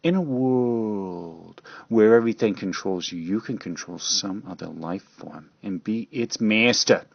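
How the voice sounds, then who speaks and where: A man playing a boastful inventor in an amateur radio drama, commanding and declamatory as he pitches his cybernetic creature. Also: a little slow, gravelly, slightly rough, no room sound, highs cut off, full character voice. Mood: grandiose, commanding.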